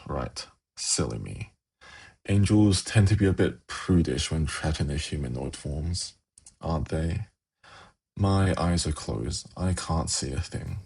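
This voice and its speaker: Demon prince, male performer: rock-bottom pitch, heavy fry, suave and teasing, intimate ASMR roleplay. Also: a little mellow, full character voice. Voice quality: very low, gravelly, husky. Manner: slow, coaxing, teasing. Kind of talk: ASMR fantasy roleplay, intimate monologue to listener.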